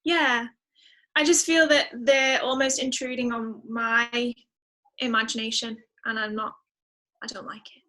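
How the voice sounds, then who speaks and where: A woman's voice: a little high, flat delivery, unhurried, sharp tone. A woman speaking as a guest in a casual interview, enthusiastic and emphatic, repeating words for emphasis. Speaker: podcast guest, musician.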